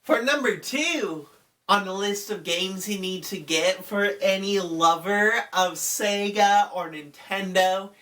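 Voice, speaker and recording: Young woman vlogger, stuffy and congested, unhurried rambling chat, a bit off-mic. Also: medium pitch, a bit bright, distant mic. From Utah. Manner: chatty, rambling, lots of "like" and "I mean". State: congested from a cold. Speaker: gaming channel host. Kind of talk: casual game recommendations.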